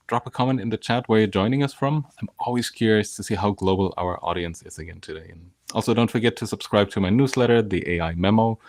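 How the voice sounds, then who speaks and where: Upbeat low-voiced male live show host. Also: dry recording. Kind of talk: live show hosting, casual.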